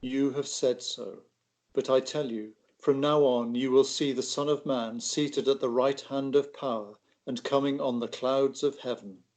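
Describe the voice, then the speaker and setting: A man reads a holy man under trial in a scripture drama. The voice is medium in pitch, calm and solemn, delivering lines with quiet formality. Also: a little slow, natural voice.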